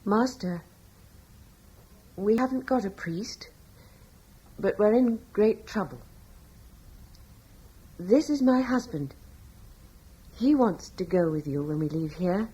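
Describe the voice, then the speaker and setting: Young wife, period radio drama. Breathy, gentle, quietly devout, hesitant but reassuring. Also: female voice, medium pitch, animated, slow, long pauses, poor audio. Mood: pleading prayer. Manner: earnest, tender, hesitant.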